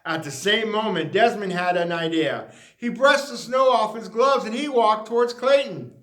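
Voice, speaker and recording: A man reading a children's picture book aloud in an animated storytelling style with emphatic, deliberate phrasing. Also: high-pitched. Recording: roomy, echoey sound.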